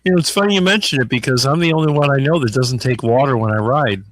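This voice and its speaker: A man chatting casually on a video call, relaxed and unhurried. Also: mid-range voice, a little hiss or hum. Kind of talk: casual chat.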